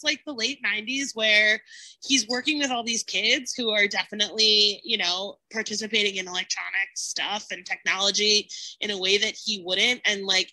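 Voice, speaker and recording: Bright, effusive woman chatting casually. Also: medium pitch, rough recording. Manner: effusive, rambling, heavy use of "like". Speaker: podcast panelist. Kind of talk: casual podcast chat about a TV show.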